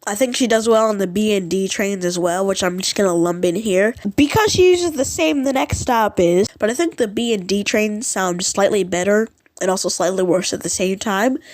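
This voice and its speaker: A woman's voice: mid-range voice, normal speed, hardly any pauses, darkish tone. A woman giving casual, rambling vlog commentary in an informal, unsure and self-deprecating way. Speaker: vlogger ranking subway announcements.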